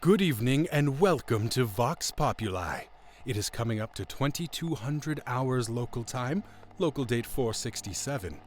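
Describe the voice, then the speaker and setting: A man's voice: mid-range voice, animated, normal speed, a little hiss or hum, close to an everyday voice. An actor playing a polite, formal male radio news anchor in an audio drama. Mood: formal announcing. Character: courteous male radio news anchor greeting his colleague. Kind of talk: audio drama dialogue and broadcast announcing.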